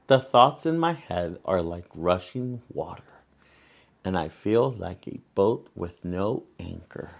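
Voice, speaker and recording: Male children's storyteller, lowish voice with gravelly creak, gentle and deliberate read-aloud delivery. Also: animated. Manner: gentle, deliberate, pausing between phrases. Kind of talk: read-aloud of a picture book with asides to children.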